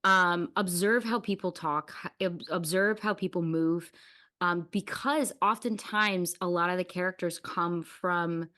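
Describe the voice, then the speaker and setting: A woman's voice: lowish voice, steady tone, measured pace, fairly bright tone. A woman hosting a podcast, chatting casually in an effusive, informal way. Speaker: podcast host.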